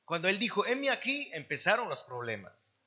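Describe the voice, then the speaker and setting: A man's voice: high-pitched, sing-song, brisk, bright, forceful tone, highs cut off. A man interpreting a sermon live, phrase by phrase, with occasional restarts. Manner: translating phrase by phrase, with some restarts. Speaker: church interpreter. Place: church service.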